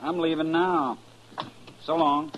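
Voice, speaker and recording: A man's voice: fairly high pitch, steady tone, slow, a bit bright, muffled recording. An actor playing a defensive, evasive drifter in a radio western, curt and folksy in his speech. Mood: stubborn, evasive. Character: defensive, balky, evasive drifter of the Old West. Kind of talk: 1950s radio western acting. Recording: noisy old-time radio recording.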